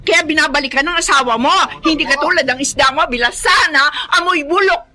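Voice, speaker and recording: Shrill, brazen fish vendor woman. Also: fairly quick, bright, forceful tone. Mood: taunting. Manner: mocking, combative. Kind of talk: radio drama acting. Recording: clearly noisy radio drama recording.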